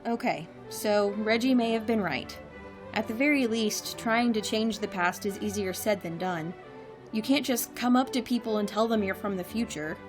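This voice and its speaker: A woman's voice: medium pitch, normal speed, over music, close to an everyday voice. A woman playing an exasperated, sardonic time traveler with a grumbling, dry delivery in an audio drama. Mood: exasperated, weary.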